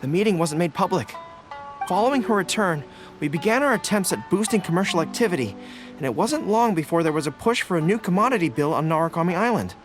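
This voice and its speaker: A man voicing an earnest, loyal young general in a game, polite and quick to apologize. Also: high voice, very animated, faint music behind. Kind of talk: game voice acting.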